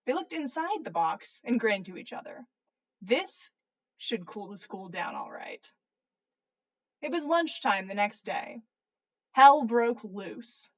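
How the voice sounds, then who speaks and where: A woman with a fairly high voice reads a story aloud as a livestream host. Her delivery is informal narration, with the casual feel of a podcast. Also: sing-song, slow, pauses often. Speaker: podcast host reading stories.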